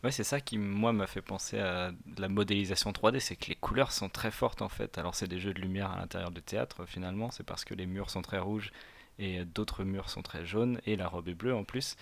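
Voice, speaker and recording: A man hosting a casual chat, relaxed, asking guests questions and laughing easily. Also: low-pitched, a little fast, vocal fry. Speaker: host.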